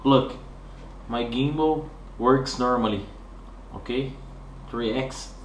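A man from Brazil with a Brazilian Portuguese accent, walking through a hardware setup in halting English, stopping often to ask "okay?". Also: mid-range voice, a little hiss or hum. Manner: halting, with many "uh" and "okay?" checks. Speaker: hobbyist showing a hardware setup. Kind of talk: hands-on tutorial walkthrough. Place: indoor room at a desk.

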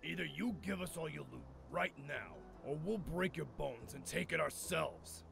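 A man voicing a menacing, easily offended bull street thug with a gravelly, bullying voice in a cartoon video game. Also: on the high side, normal speed, background noise. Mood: threatening. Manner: bullying, forceful.

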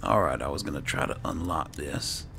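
Deep, gravelly man narrating gameplay. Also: expressive, moderate pace, background noise. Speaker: gaming video host playing in character. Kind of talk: roleplay gameplay commentary.

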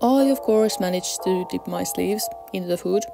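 A woman's voice: on the low side, moderate pace, gravelly. A young adult woman vlogger giving a casual voice-over narration of her day out. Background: background music.

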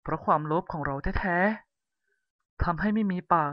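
A storyteller voicing a village firewood seller who calls out his wares in a sing-song, drawn-out way. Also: mid-range voice, dry recording, muffled recording, lightly acted. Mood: regretful. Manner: sing-song street calling.

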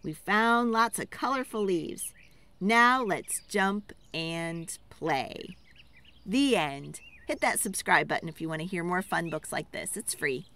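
A woman's voice: fairly low pitch, expressive, slow pace, pushed and bright. A woman storyteller giving a dramatic, rhythmic picture-book read-aloud for children, theatrical and urging listeners to join in.

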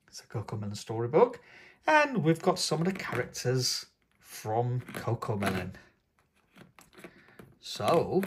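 A man's voice: mid-range voice, slight background noise. A man reading a picture book aloud to toddlers in a sing-song, coaxing tone as host of his own channel. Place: indoors at a tabletop, hands showing a book.

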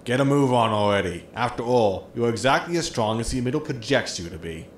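A man's voice: medium pitch, lively intonation, a little fast, lightly acted. Man acting a sarcastic spaceship crewman, echoey. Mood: impatient, puzzled. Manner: sarcastic, exasperated. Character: grumbling, money-minded, sarcastic spaceship crewman. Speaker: actor in an audio drama. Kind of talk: sitcom audio drama acting. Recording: roomy echo with noisy ambience.

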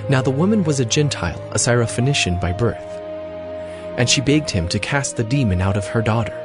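A man's voice: medium pitch, lively intonation, fairly quick, music in the background. A man narrating scripture from a script in a dramatized Bible production.

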